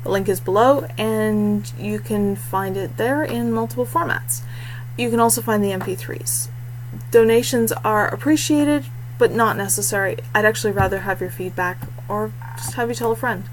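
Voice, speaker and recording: A woman's voice: medium pitch, normal speed, rough recording. Chatty woman author with faint hum. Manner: chatty and self-deprecating. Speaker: indie fantasy author. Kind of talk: informal channel introduction.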